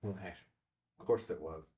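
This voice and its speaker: A man's voice: deep voice, a little slow, mellow. A man playing a casual, probing visitor to a diner in a film table read, with an offhand, slightly hesitant delivery.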